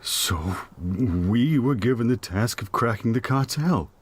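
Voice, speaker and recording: An actor playing a guilt-ridden, panicky, hard-drinking police detective who speaks haltingly in an audio drama. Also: male voice, medium pitch, animated, breathy edge. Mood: weary, confiding. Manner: halting, stammering.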